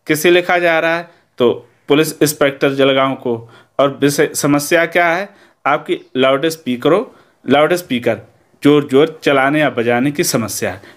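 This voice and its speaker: A man's voice: fairly high pitch, normal speed. A man with an Indian accent giving an instructional lesson as a teacher, explaining methodically step by step and checking in after each point. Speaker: Hindi teacher.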